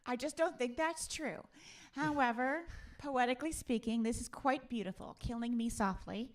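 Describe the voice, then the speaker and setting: A woman with a fairly high voice hosts a live stream. She talks casually and playfully, as a musician chatting to viewers at home. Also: moderate pace.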